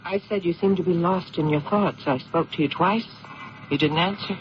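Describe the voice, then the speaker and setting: A woman's voice: lowish voice, normal speed, lightly acted. An actress playing a concerned, supportive woman, earnest and coaxing, in a 1970s radio drama. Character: concerned, supportive woman confiding with troubled man. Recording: dull, slightly noisy old radio recording.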